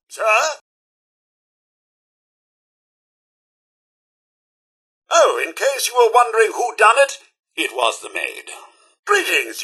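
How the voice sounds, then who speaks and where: A man's voice: sits high, bright, forceful tone, husky, exaggerated voice. A man playing a fussy, prim robot butler in a video game, with a pompous, over-polite, theatrical delivery. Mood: chirpy, formal. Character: fussy, prim male robot butler, servile yet snide.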